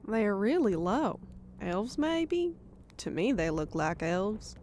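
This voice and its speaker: A woman's voice: mid-range voice, big pitch swings, slow pace, a little mellow, a little hiss or hum. A woman playing a wry, frank female soldier in an audio story. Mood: curious murmur. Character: wry, sardonic female commando volunteer. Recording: music under the voice.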